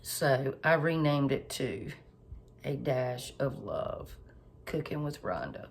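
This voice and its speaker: Slow, hoarse woman teaching baking. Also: rumbling low, expressive, a little hiss or hum. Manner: homey, chatty, lots of fillers, addresses viewers directly. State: congested and a little hoarse. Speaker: home cook channel host. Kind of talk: casual cooking tutorial.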